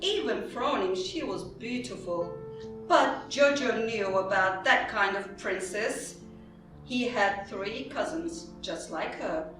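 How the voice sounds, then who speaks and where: A woman's voice: mid-range voice, lively intonation, takes it slowly, a bit bright. A woman narrating a scripted fairy tale for readers theatre. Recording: very echoey room, distant, music behind.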